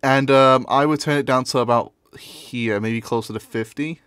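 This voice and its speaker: A man's voice: mid-range voice, steady tone, moderate pace, a bit bright, smooth, no room sound. Male tutorial presenter, informal and offhand, recorded dry with very little room sound. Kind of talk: casual screen-recorded software tutorial.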